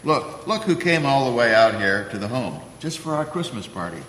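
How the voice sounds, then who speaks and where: An actor playing a kindly, grandfatherly old Santa Claus in a radio play, gently fussy in the way he talks. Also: medium pitch, very animated, moderate pace, echoey room, big-room sound, slight background noise, low-quality recording.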